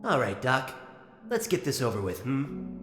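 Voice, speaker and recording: A man's voice: medium pitch, sing-song, a little slow, a bit bright. A man voicing a smug, bitter mutant sea-creature prisoner in a comic dub, with a theatrical, mocking, sarcastic drawl. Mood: sardonic. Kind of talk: scripted comic dub voice acting. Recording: reverberant room sound, music underneath.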